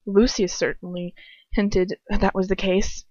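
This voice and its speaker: A woman's voice: mid-range voice, level tone, a little slow, darkish tone, no echo. A woman reading fan fiction aloud in a casual, amateur way, stumbling over words and dropping in chatty, self-deprecating asides. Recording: dull lo-fi home recording.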